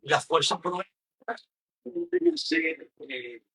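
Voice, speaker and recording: Man, husky and fairly high, rhetorical political discussion style. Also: huge pitch swings, slow pace, sharp tone. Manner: rhetorical, poses questions to make points.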